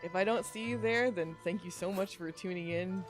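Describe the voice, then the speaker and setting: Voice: medium pitch, normal speed. A podcast host chatting casually on a livestream in an informal, jokey way. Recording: music behind the voice. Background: music.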